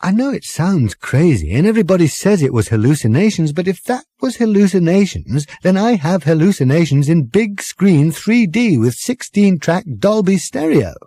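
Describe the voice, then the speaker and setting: A man voicing an earnest, insistent woman, raised pitch. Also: sing-song, normal speed, few pauses, dark tone, no room sound, studio quality, full character voice. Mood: anxious, intense. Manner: emphatic, urgent explaining. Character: earnest, troubled young woman, insistent and intense.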